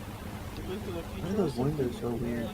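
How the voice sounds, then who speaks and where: A man's voice: a little high, expressive, slow pace, very noisy. A man in roleplay voice chat, making wry remarks.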